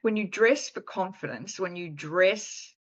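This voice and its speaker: A woman's voice: mid-range voice, unhurried, breathy edge, lo-fi sound. A middle-aged woman hosting an interview, chatty and enthusiastic, often restarting her sentences. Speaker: podcast host. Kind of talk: casual interview chat.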